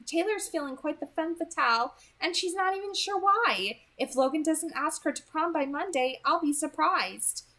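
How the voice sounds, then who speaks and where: A woman's voice: high voice, normal speed, hardly any pauses, bright, forceful tone, pitched above the performer's own voice. A curious, teasing teenage girl from a novel, played by a woman reader, speaks in a raised, lilting voice. She sounds arch and amused.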